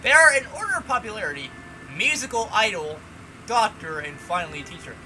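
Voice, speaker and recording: A man's voice: high voice, sing-song, a little fast, background noise, voice pushed up. A man reading the lines of a formal female teacher of magic, lecturing a class.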